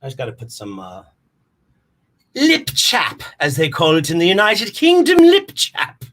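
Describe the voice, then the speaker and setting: A man's voice: fairly high pitch, huge pitch swings, measured pace, fairly bright tone. A man performing casual rambling comedy in a scatterbrained, theatrical manner. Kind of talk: rambling casual comic livestream.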